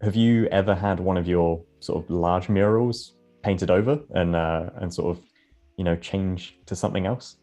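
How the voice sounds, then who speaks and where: A British man hosts a live creative interview in a low, deep voice. He talks in a chatty, curious way, stringing out long rambling questions and remarks. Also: moderate pace, dark tone, faint music behind. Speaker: host. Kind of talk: casual interview chat.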